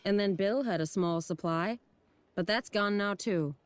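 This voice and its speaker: A woman's voice: mid-range voice, a little slow, smooth, no room sound, natural voice. An actress playing a dry, sardonic woman in a village pub in an adventure game, wry and matter-of-fact. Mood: resigned.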